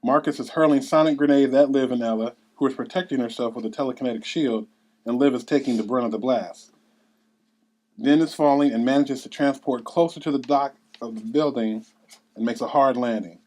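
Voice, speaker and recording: A man's voice: medium pitch, fairly quick, darkish tone. A man narrating a script at a table read, reading out scene headings and action. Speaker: narrator reading stage directions.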